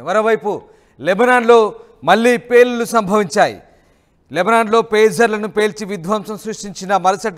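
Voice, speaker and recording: High-pitched male news presenter. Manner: emphatic, repeats phrases and asks rhetorical questions. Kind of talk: news narration with live commentary over footage.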